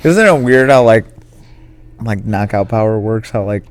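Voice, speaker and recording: Casual, swearing podcast co-host, low-pitched man. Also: animated, normal speed. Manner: casual, profane, lots of "like". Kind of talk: casual sports chat.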